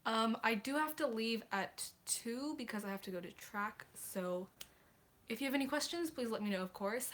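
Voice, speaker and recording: Teen girl livestreaming, kinda high voice, chatty and hesitant, says sorry a lot. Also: fairly bright tone. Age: teens. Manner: chatty, apologetic, hesitant with frequent ums. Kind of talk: casual livestream chat answering viewer questions.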